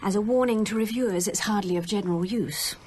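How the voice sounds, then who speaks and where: A woman's voice: medium pitch, fast talker, a little mellow, a little hiss or hum, close to an everyday voice. An actress playing a composed, sceptical British lady in a radio drama, speaking in a dry, articulate way. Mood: wry, knowing.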